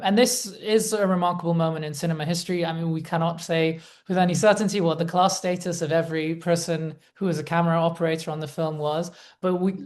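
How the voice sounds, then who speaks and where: A female lecturer with a distinctly low-pitched voice. She presents in an informal academic way, thinking her points through and often qualifying them.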